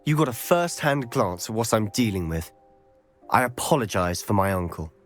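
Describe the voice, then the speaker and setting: A man's voice: lowish voice, big pitch swings, moderate pace, soft background music. An actor playing a determined young wizard who speaks earnestly about saving his sister. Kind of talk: video game cutscene acting.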